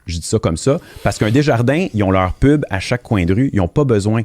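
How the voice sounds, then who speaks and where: A man's voice: lowish voice, animated, brisk, a little mellow, no echo, studio quality. A man, a guest on a podcast, giving earnest, explanatory answers and often stumbling over repeated words. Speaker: mortgage industry guest. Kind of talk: interview answers.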